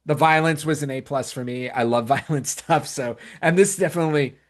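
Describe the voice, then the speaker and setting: A man's voice: fairly high pitch, a little fast. A man talking casually as a podcast host, enthusiastic and emphatic, often repeating phrases to stress his point.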